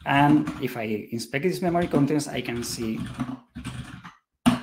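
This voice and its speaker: A man's voice: medium pitch, unhurried, noisy background. Hesitant man giving a technical talk. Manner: hesitant, many fillers and restarts. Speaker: conference presenter. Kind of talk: technical talk with live demo.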